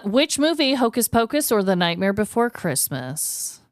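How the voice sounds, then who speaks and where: An American woman in her thirties from the Midwest, co-hosting a podcast in an expressive, informal, giggly style. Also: mid-range voice, moderate pace, no echo, studio quality. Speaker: podcast co-host. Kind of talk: casual chat.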